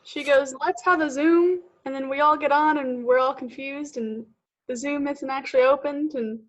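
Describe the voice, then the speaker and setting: A woman's voice: sits high, steady tone, moderate pace, poor audio. A woman, a student, talking in a wry, exasperated way on a video call. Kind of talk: casual complaint.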